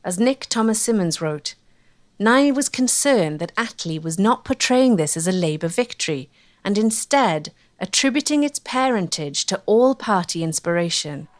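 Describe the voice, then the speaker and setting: A woman reads scripted documentary narration in a measured, articulate way. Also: medium pitch, animated.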